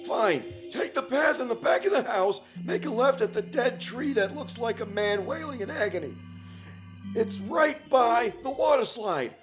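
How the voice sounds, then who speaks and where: A man's voice: very high-pitched, lively intonation, measured pace, music in the background, rough recording. A man playing a grumbling, sarcastic cemetery caretaker in a comic 1940s-style radio play. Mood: gruff, indignant. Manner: sarcastic, put-upon.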